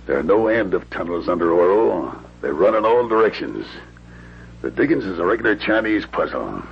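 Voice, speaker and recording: Casting: confident Western gang leader, very lively low delivery, gravelly, 1950s radio drama style, faint noise. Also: male voice, moderate pace, exaggerated voice. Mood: scheming. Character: male outlaw gang boss, scheming bank robber. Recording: dull lo-fi old radio recording, hum.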